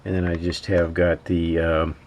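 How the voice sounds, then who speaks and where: A man's voice: low voice, completely flat delivery, darkish tone, a little hiss or hum. A man thinking aloud in a casual, hesitant way as he narrates hands-on repair work at an indoor workbench. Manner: hesitant, halting, musing to himself. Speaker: hobbyist radio repairer narrating his work.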